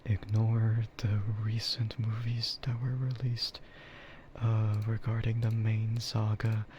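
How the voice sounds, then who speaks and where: A man chatting casually in a soft, half-whispered ASMR style, rambling and hesitant as he streams close to the microphone. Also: fairly low pitch, monotone, slow, dull audio, slight background noise. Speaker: VTuber streamer.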